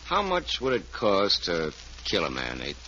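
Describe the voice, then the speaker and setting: A man's voice: low voice, wildly swooping pitch, pushed and bright, exaggerated voice. An actor playing a ruined, bitter man set on revenge, speaking formally and grimly in an old-time radio crime drama. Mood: coldly determined. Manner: formal, deliberate, grim. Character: ruined, bitter, vengeful man, coldly determined. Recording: muffled, hissy old radio recording.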